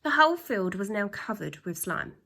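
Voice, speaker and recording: A woman reading a children's story aloud like a teacher, with expressive, drawn-out emphasis and acted sound effects. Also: mid-range voice, creaky.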